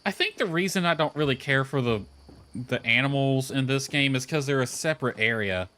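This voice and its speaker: A man's voice: medium pitch, expressive, a little fast, pushed and bright. A man giving casual, rambling livestream commentary as he plays a game, thinking aloud as he goes. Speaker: game streamer. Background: background music.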